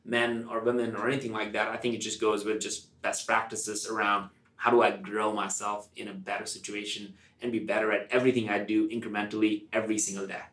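A man's voice: fairly low pitch, little pitch movement, fast, few pauses, a bit bright. A man co-hosting a casual podcast discussion, talking in an earnest, encouraging and emphatic way. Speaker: podcast co-host. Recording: roomy, echoing sound.

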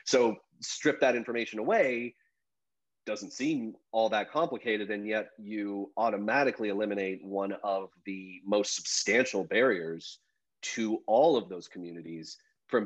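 A man's voice: lowish voice, highs cut off. A man, a journalist, talking casually about his story in a rambling, self-deprecating way with lots of fillers. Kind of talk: casual podcast conversation.

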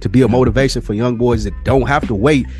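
A man's voice: mid-range voice, steady tone, quick pace, darkish tone. A man with an American accent and a mid-range voice speaks casually, as a podcast co-host. He is earnest and motivational, with slangy phrasing. Music plays faintly behind him.

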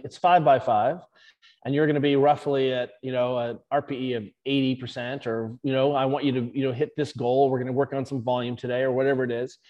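A man, a strength and conditioning coach, explaining his views in an interview with animated emphasis. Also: mid-range voice, big-room sound.

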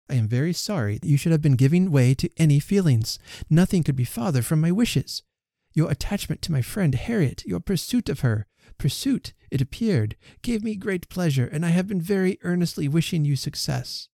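A man reading the part of a proud young gentlewoman who firmly rejects a suitor, speaking crisply and emphatically. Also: on the high side, expressive, normal speed, no room sound, clean studio sound, voice pushed up. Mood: firm refusal. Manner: crisp, emphatic, rising protest.

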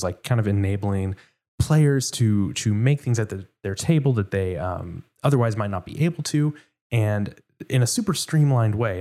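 A man's voice: fairly low pitch, warm tone. A man from Houston hosting a casual interview, rambling with lots of fillers. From Houston, Texas. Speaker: host.

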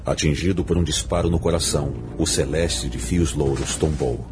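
A man with a Brazilian accent giving a solemn, measured, dramatic audiobook narration. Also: extremely low pitch, fairly even intonation, noisy background.

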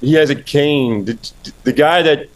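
A man from Pennsylvania Dutch country with a Pennsylvania Dutch accent, a bar owner guest talking in a laid-back, halting way. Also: fairly high pitch. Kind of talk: casual podcast chat.

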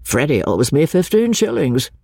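A woman voicing a pompous, superior young gentleman who talks in a smooth, condescending way, with a husky voice. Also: low-pitched, sing-song, moderate pace, no echo, clean studio sound, exaggerated voice.

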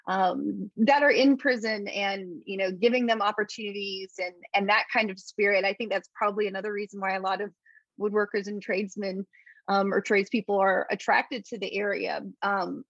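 A woman's voice: medium pitch, steady tone, normal speed, dry recording. Affirming female host on a dull dry line. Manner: warm, affirming, thoughtful. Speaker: interview host. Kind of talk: casual interview.

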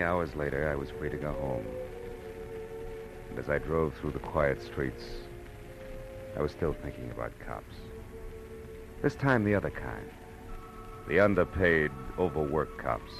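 A very deep male voice as a world-weary private eye, brooding and clipped. He narrates his case in old radio noir fashion, with prominent music behind him. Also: poor audio. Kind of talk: 1940s radio acting, first-person noir narration. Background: dramatic music.

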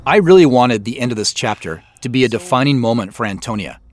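Man narrating commentary with lively intonation. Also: mid-range voice, quick pace. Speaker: show creator and commentator. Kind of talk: scripted behind-the-scenes commentary.

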